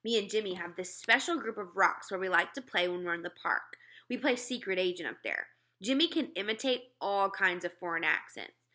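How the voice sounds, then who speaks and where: A woman reading a children's book aloud in an expressive storytelling style. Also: medium pitch. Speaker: read-aloud reader.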